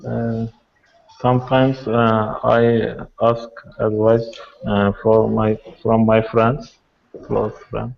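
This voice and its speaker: Slow, gravelly man answering haltingly. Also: fairly low pitch, little pitch movement. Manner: halting, hesitant non-native English. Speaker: student. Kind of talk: answering in class. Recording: dull lo-fi video call audio.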